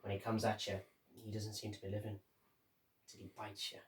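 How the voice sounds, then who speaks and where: A man playing a grim, haunted sailor who survived a sinking, reciting a dramatic monologue in halting, measured phrases with deliberate pauses. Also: on the low side, monotone, noisy background, natural voice. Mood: ominous. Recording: roomy echo, distant microphone.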